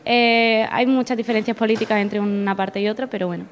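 A woman's voice: a little high, steady tone, brisk, a little hiss or hum. A young woman, answering interview questions in a friendly, slightly hesitant way. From Spain. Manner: friendly, a bit hesitant, repeats words. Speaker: exchange student guest.

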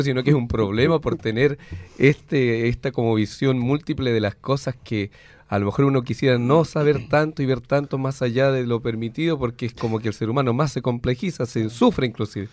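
A man's voice: mid-range voice, moderate pace, a little mellow. A man co-hosting a radio interview, asking long, winding questions. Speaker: radio co-host.

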